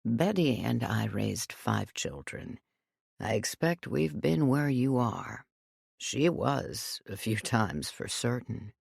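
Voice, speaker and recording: A woman reading voices a gentle, kindly elderly man who speaks softly, calmly and patiently. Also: very deep voice, slow, gravelly, slightly rough, dry recording, studio quality, heavily acted.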